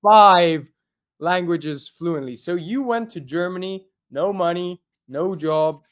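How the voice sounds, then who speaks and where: A man hosting a podcast, asking questions in an animated, enthusiastic way. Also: sits high, slow, muffled recording. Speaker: podcast host. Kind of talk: casual interview chat.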